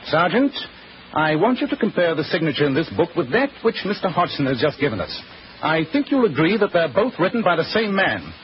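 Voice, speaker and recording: Lively, incisive English gentleman detective. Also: on the high side, fairly quick. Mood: commanding. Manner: crisp, incisive, theatrical. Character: Sherlock Holmes, brisk, self-assured English gentleman detective. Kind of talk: 1940s radio acting. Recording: muffled, hissy 1940s radio recording.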